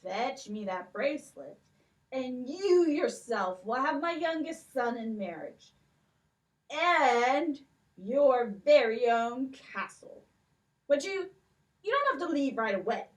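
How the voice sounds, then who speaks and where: Woman doing an old king, fussy, sentimental, slow, lilting, distant mic, puppet show. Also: high-pitched, big pitch swings, full character voice. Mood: commanding. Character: fussy, grateful old king, fond of his gold.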